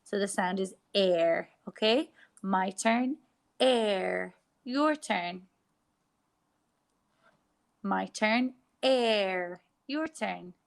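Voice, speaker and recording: An Irish woman teacher giving a phonics lesson to young children, sounding out letters slowly and clearly. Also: medium pitch. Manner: slow, exaggerated sounding out of letters.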